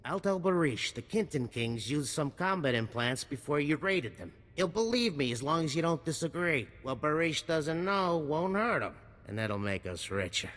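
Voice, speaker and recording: Sharp, bright wisecracking arms dealer. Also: male voice, on the high side, sing-song, moderate pace, few pauses, long reverb tail. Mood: conspiratorial, wheedling. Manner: slick, sardonic salesman patter. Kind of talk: video game cutscene acting.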